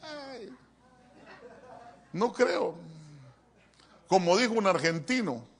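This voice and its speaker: A man preaching a sermon as a pastor, animated and joking, often addressing his listeners as brother. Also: sits high, very slow. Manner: animated, joking, keeps calling listeners "hermano". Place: church.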